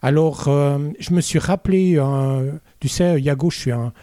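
Brief: musician guest, man, Vaud Swiss accent, mellow, dry close mic, rambling with lots of fillers. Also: a little high, normal speed, dry recording. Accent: Vaud Swiss French. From Switzerland. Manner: hesitant, many "euh" fillers, chatty storytelling. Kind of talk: casual interview chat.

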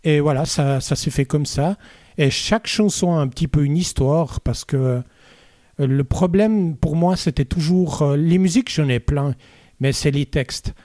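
A man's voice: on the high side, a little slow, dark tone, no room sound, studio quality. A middle-aged man with a Vaud Swiss French accent, a musician chatting in an interview with many hesitant fillers. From Switzerland. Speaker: musician guest. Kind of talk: casual interview chat.

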